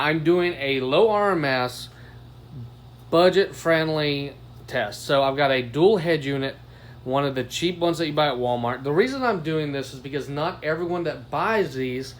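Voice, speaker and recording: A man's voice: on the high side, normal speed, a little hiss or hum. An American man giving a casual product demo as a reviewer, recorded on a phone microphone. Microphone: phone above the bench.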